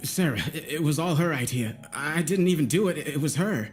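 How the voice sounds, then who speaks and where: A man's voice: a little high, animated, brisk, voice pushed up, close to an everyday voice. A man voicing a nervous, defensive young male gamer under interrogation in a dramatic audio story. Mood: panicked. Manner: agitated, defensive, self-justifying. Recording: background music behind the voice.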